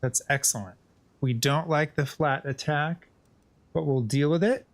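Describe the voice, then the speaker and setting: A man's voice: a little high, a little slow. A man giving casual, chatty gameplay commentary as a streamer, often addressing the game characters. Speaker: gaming streamer.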